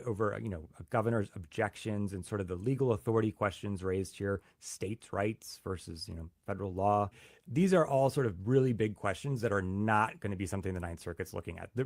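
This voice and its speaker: A man's voice: lowish voice, darkish tone, no echo. Reporter man, dry close sound. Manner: halting, repeats words at starts. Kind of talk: news interview, reporter explaining.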